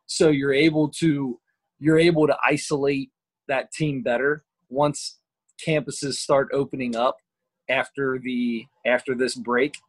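A man's voice: mid-range voice, steady tone, a little slow, rough recording. Upbeat man rambling about sports. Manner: upbeat, self-described positive thinker, rambling. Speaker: podcast co-host. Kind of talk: casual sports chat.

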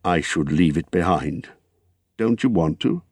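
A man voicing a grave old wizard in a storybook reading, patient, watchful and stern, speaking in a measured, thoughtful way. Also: on the low side, animated, vocal fry, no room sound, clean studio sound, heavily acted. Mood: quietly firm.

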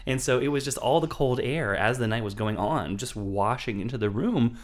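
A man in his thirties with a hoarse voice, co-hosting a podcast and joking casually, swearing freely. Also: medium pitch, moderate pace. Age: 30s. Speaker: podcast co-host. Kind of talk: casual chat. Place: indoor studio, in San Francisco.

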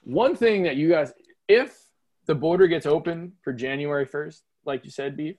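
A man's voice: on the high side, normal speed, a little mellow, no room sound. A man from the United States hosting a podcast, talking casually and peppering his speech with dude and man. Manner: slangy, calls people dude and man. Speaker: podcast host. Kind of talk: casual chat.

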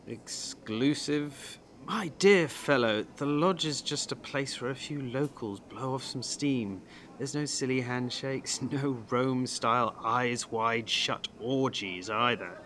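An actor playing a village undertaker in an audio drama, avuncular yet cagey, with a wry, brusque manner. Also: male voice, medium pitch, a bit bright, slight background noise. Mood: amused, dismissive. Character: blunt, breezy village undertaker, cagey lodge man.

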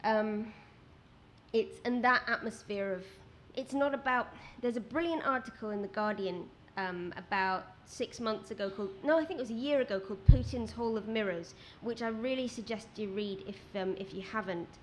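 Quiet lady rambling on at an event, restarts a lot, a little hiss behind. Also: fairly high pitch, normal speed. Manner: rambling, animated, many restarts. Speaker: guest writer. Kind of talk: conversational talk at a live event. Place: outdoor event with rain.

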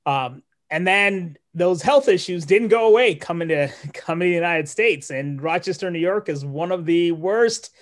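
Higher-voiced man from Malawi, reflective. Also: expressive, moderate pace, hardly any pauses. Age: 40s. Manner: thoughtful, with frequent restarts and light laughs. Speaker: neurologist guest. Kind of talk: casual interview storytelling.

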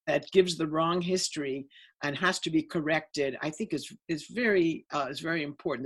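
An American woman speaking as a panelist in an online discussion, emphatic and lively about what she saw firsthand. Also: low-pitched, husky. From United States.

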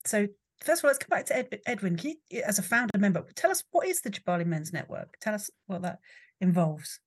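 Woman host, rapid speech, husky, somewhat low, brisk, encouraging. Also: big pitch swings. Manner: brisk and encouraging, with frequent mms. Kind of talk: hosting an online panel talk.

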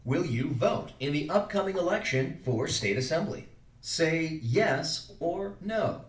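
An actor playing an automated political phone-poll caller who gets increasingly pushy, with formulaic prompts swinging into exaggerated salesmanship, performed live on stage. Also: male voice, a little high, animated, a little slow. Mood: formulaic, polite. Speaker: actor in a live interactive radio play. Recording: roomy, distant, noisy live theater recording.

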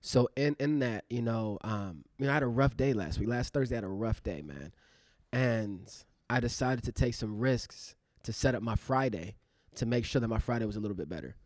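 A man's voice: medium pitch, expressive, brisk, a little mellow, dry recording, studio quality. A man in his thirties, an actor co-hosting a podcast, talking in a loose, rambling way with many fillers. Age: 30s. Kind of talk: casual reflective chat.

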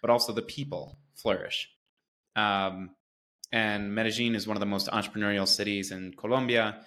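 Man, from the United States, low voice, flat intonation, earnest, enthusiastic, interview guest. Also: moderate pace. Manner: earnest and enthusiastic, with repeated restarts. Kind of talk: conversational interview.

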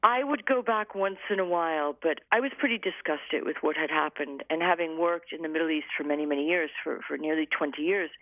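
A woman's voice: low voice, brisk, few pauses, no echo. A journalist woman recalling her time reporting abroad, telling it as a reflective story in an interview. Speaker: journalist guest. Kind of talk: interview over the phone. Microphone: telephone. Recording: tinny phone line.

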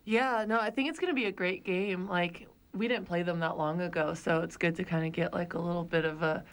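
A woman's voice: on the low side, fairly even intonation, brisk. A woman speaking as a basketball player guest in a casual studio radio interview.

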